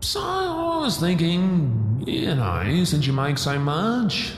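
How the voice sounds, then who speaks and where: A man acts out a greedy, sleazy landlord for an audio roleplay. His slangy, wheedling voice sits on the high side and leaps around in pitch. It rings in an echoing hallway with a faint layer of noise. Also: wildly swooping pitch, slow pace, full character voice. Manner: slangy, oily, hectoring. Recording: echoey hall with faint hiss.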